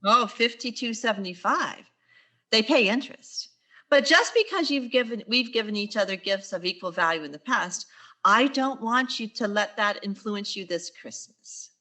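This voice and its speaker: Woman playing a bright, wry, sarcastic schoolteacher. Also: a little high, animated, normal speed. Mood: sweetly sarcastic. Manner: dry, sarcastic asides. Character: wry, quick-witted female high school English teacher. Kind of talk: 1940s radio sitcom acting.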